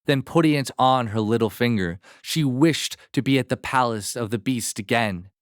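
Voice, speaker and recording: A man narrating a fairy tale as a storyteller, in a measured, expressive manner. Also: medium pitch, dry recording, clean studio sound.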